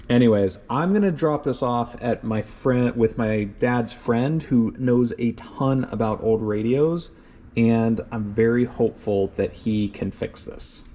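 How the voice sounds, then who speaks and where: A man's voice: mid-range voice, gravelly, mellow. A man narrating a hands-on vlog in an informal way, thinking aloud as he examines things. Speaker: car owner and vlogger.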